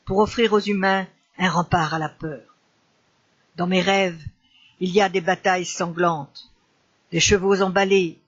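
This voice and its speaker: A man's voice: sits high, a bit bright, dull audio. A man reciting a poem as a grieving, solemn enchanter haunted by war, in a declamatory and mournful manner. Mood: solemn, prophetic.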